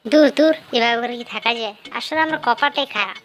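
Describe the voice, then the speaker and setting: A woman's voice: on the high side, quick pace, heavy background noise, close to an everyday voice. A woman voicing a hot, broke, grumbling husband fox in a cartoon. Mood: whining, exasperated. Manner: whining, grumbling. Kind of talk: cartoon character dialogue.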